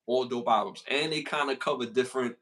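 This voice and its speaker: A man's voice: medium pitch, a little fast, a bit bright. A man with a Brooklyn accent co-hosting a casual podcast, slangy and repeating himself as he reads out list questions. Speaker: podcast co-host.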